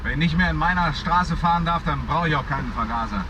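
An actor playing a chatty, resigned cab driver in a German radio drama, rambling in colloquial speech. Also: male voice, fairly high pitch, fast talker, lightly acted. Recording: loud car and street noise.

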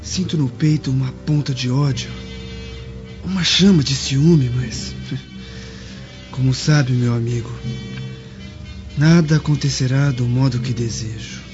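A man's voice: mid-range voice, unhurried, long pauses, lightly acted. An actor playing a melancholy, lovesick, jealous young nobleman in a radio drama, earnest and brooding in delivery. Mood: brooding, bitter. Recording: dull lo-fi radio drama, music behind.

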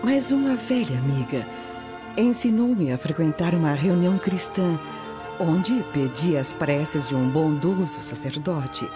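A woman's voice: low voice, animated, unhurried. A woman playing a worldly, knowing Roman matron confiding in a friend in a radio drama. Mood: reassuring. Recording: dull lo-fi audio over music.

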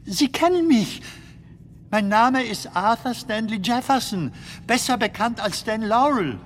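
A bewildered male comedian in a radio drama, politely pleading for company in the dark. He talks slowly, his pitch swinging widely as he asks and insists. A faint ambient noise sits behind his voice. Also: expressive. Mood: bewildered, questioning. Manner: plaintive, questioning, polite.

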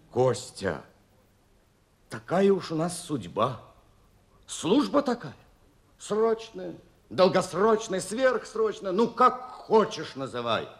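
An actor playing a teasing but steadfast young naval officer in a Russian radio play, speaking in an emphatic, rhetorical way. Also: male voice, high voice, full character voice.

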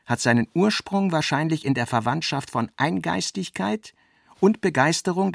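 Gravelly man reading literary prose. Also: mid-range voice, no room sound, studio quality. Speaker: literary narrator and reader. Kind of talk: scripted literary narration for a radio play.

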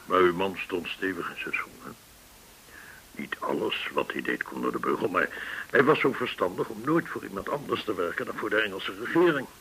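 An actor playing a courteous, shrewd, well-connected informant in a Dutch radio drama, speaking in a formal, deliberate way. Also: male voice, sits low, lively intonation, pushed and bright, exaggerated voice, rough recording. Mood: measured explaining. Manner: formal, measured, deliberate explaining. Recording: hissy old radio recording.

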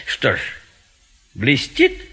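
An actor playing a fussy, indecisive gentleman bachelor in a classic comedy audio play, hesitant and given to repeating himself. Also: extremely slow. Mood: fretful musing.

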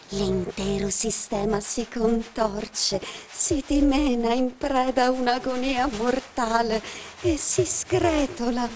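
An actress playing a solemn narrator of cosmic time, reading slowly and deliberately in a radio theatre style. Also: female voice, a little high. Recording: clear background noise.

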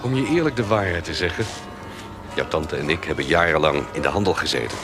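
An actor playing a gruff, devoted, roguish trader in a Dutch radio drama, terse and plain-spoken. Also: male voice, very deep voice, animated, brisk, music in the background. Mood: candid. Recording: clear background ambience noise.

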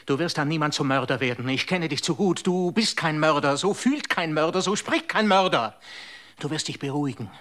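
An actor playing an earnest friend in a radio drama who insists his companion calm down. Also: on the high side, brisk. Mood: pleading. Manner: soothing, insistent.

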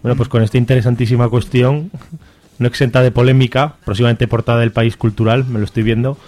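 A man's voice: medium pitch, little pitch movement, fast talker, darkish tone. A man with an accent from Spain hosting radio banter in an irreverent, colloquial, interjecting way. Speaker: radio show host. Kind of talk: casual comic banter.

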